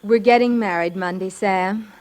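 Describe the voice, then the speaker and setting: An actress as a sweet, affectionate young bride-to-be. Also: female voice, medium pitch, moderate pace, a little mellow, close to an everyday voice. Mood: tender. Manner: gushing, fond. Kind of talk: 1940s radio drama acting.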